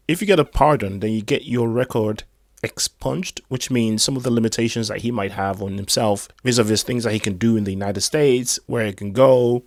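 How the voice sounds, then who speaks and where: A hoarse man giving rambling, casual commentary on crypto news. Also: mid-range voice, no echo, clean studio sound. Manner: rambling, chatty asides.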